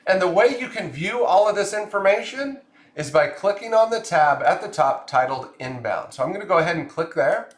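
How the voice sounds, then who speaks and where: Male software explainer, raised pitch, methodical instructional delivery, noticeable room echo. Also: lively intonation, a little fast. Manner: clear step-by-step explaining. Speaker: software tutorial presenter. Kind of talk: scripted screen-share tutorial narration.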